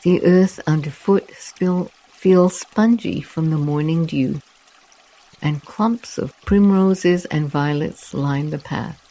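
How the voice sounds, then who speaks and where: A meditation guide narrating a guided relaxation walk in a soft, soothing, unhurried way with long pauses. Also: medium pitch, low-quality recording.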